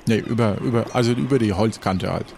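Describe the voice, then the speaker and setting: A man improvising the narration of an adventure game as game master, halting as he searches for words. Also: fairly low pitch, gravelly, a little mellow, a little hiss or hum.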